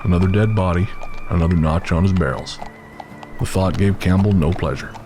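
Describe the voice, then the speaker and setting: A man's voice: deep voice, fairly even intonation, brisk, natural voice. A man giving scripted narration as the storyteller of a western horror audio drama. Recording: music bed behind the voice.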